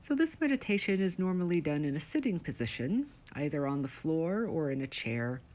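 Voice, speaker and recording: A woman leading a scripted guided meditation in short, measured, soothing phrases with pauses between them. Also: low-pitched, darkish tone.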